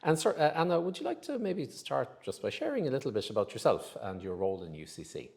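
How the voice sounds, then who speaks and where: A man's voice: medium pitch, sing-song, quick pace, vocal fry, darkish tone. A man hosting an academic interview, asking friendly, encouraging questions. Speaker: interview host.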